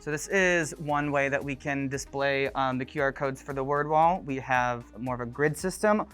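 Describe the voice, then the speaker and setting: A man with a smooth, resonant voice explains things in a loose, unhurried way, dropping plenty of ums and uhs as he goes. Faint music plays behind him. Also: medium pitch. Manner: relaxed, with frequent ums and uhs. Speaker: grade one teacher. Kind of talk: documentary interview.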